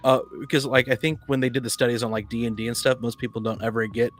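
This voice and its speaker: Male panelist, medium pitch, informal and stammering, restarting phrases often, light music behind. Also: steady tone. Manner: halting, stammering, repeats words. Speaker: podcast panelist, tabletop player. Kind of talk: casual discussion of tabletop gaming.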